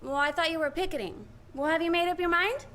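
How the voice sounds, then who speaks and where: A woman playing a bright, high-voiced, pert young sweetheart. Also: quick pace. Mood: pert, inviting. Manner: pert, direct questioning. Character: spirited, sweet but pointed young sweetheart. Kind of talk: 1940s radio play acting.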